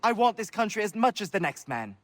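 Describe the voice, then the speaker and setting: An actor playing a slippery captured turncoat who pleads and wheedles informally in a video game. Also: male voice, high-pitched, sing-song, fairly quick, a bit bright, dry recording. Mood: pleading. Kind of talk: video game drama acting.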